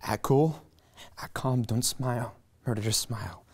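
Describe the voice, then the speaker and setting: An actor reading a panicked young man in a table reading, stammering and shouting in alarm. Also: medium pitch, measured pace, slightly rough. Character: panicked young man who found a body.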